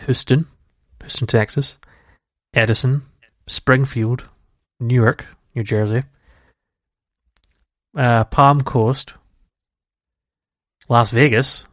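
A man's voice: mid-range voice, fairly even intonation, slow, pauses often, no room sound. A man chats loosely on a gaming podcast, drifting between thoughts and laughing often. His voice sits in a middle register. The sound is dry and close, with no room around it. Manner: chatty, rambling, laughs easily. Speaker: podcast co-host. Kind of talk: casual chat.

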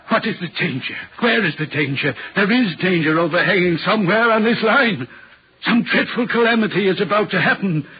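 A man's voice: high voice, breathy edge, full character voice. An actor playing a haunted, anguished railway signalman in a brooding, dramatic 1940s radio horror play style. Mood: anguished, desperate. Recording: muffled 1940s radio recording.

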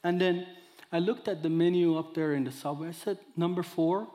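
A man in his thirties from Iran, speaking English with an Iranian accent, giving an expressive sermon that mixes scripture with his own testimony. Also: fairly high pitch, brisk, long reverb tail. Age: 30s. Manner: expressive storyteller, acts out dialogue, audience laughter. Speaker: guest speaker.

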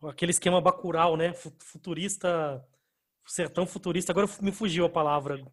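A man's voice: on the high side, fairly even intonation, a little fast, a bit bright. A man with a Brazilian accent hosting a podcast in a laid-back, slangy way, laughing easily. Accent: Brazilian Portuguese. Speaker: podcast host. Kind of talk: casual podcast chat.

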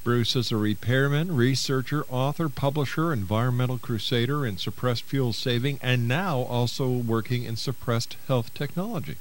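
A man's voice: mid-range voice, moderate pace, few pauses. A man hosting a radio show, interviewing a guest and reading out announcements. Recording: hissy radio broadcast.

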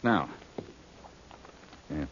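A man's voice: drawn-out words, fairly bright tone, slight background noise. An American actor playing a confident, commanding, wisecracking male sleuth in 1940s radio detective style. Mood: brisk, intent. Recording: dull old radio recording.

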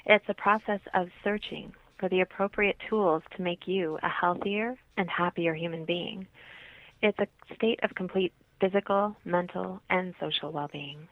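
A woman's voice: fairly low pitch, moderate pace, no echo. A woman explaining things as a guest expert in a radio interview, on slightly dull audio.

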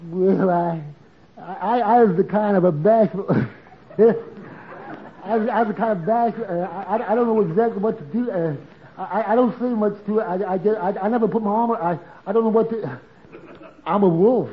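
An actor as a high-voiced, stammering bashful fellow. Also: male voice, moderate pace, close to an everyday voice. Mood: bashful, flustered. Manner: stammering, repeating words. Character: bashful, flustered, meek suitor. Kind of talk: 1940s radio comedy acting. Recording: muffled old-time radio, audience noise.

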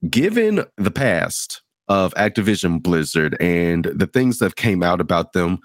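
A man hosting a casual gaming discussion, talking in a measured way with plenty of fillers as he steers the topic. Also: deep voice, big pitch swings, no room sound, studio quality. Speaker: host.

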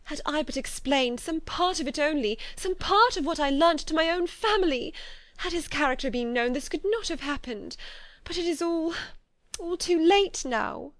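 A woman reading the lines of a distraught young gentlewoman, her voice raised, high and strained with agitation. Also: a little fast, dry recording, close to an everyday voice.

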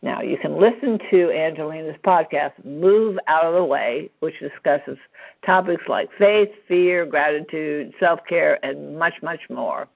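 A woman's voice: deep voice, a little slow, vocal fry. A woman hosting an interview podcast, introducing her guest and asking questions in an encouraging way. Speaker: podcast host. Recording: dull, lo-fi podcast audio.

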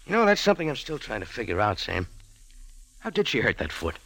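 Wisecracking tough adventurer, animated delivery. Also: male voice, on the low side, fast talker, gravelly, exaggerated voice. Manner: wry, punchy hardboiled delivery. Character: tough, wisecracking adventurer café owner narrating his exploits. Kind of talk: 1940s radio adventure narration and acting. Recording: 1940s radio recording with prominent music.

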